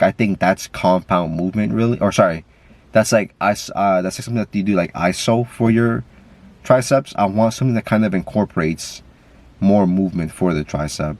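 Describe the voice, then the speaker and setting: A man's voice: on the low side, no echo. A man with a stuffy nose, talking in a casual, rambling way with lots of fillers and swearing, filmed on a camera while driving. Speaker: vlogger. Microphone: camera mic.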